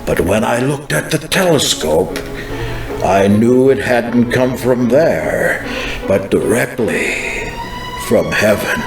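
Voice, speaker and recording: A man playing a fervent American healing evangelist, solemnly and deliberately recounting a prophetic vision with dramatic emphasis. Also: medium pitch, lively intonation. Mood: awed, fervent. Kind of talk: dramatized first-person testimony, scripted narration. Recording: roomy echo with music underneath.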